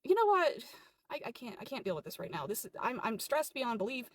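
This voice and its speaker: A woman's voice: high-pitched, animated, a little fast. A woman playing an exasperated, defensive sandwich shop employee in a comedy skit, argumentative and incredulous. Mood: stressed, resigned.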